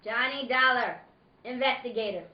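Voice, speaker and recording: A woman playing a chatty, eager young policeman in an old-time detective radio drama, folksy and excitable. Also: on the high side, moderate pace, close to an everyday voice. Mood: concerned. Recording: echoey room, dull noisy sound.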